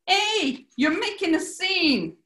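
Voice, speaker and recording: A woman's voice: very high voice, big pitch swings, slow pace, fairly bright tone, full character voice. A woman playing a bossy male gangster club owner with a New York accent, menacing yet genial, in a 1940s radio comedy. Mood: commanding. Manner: bossy, smooth-talking. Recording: echoey room.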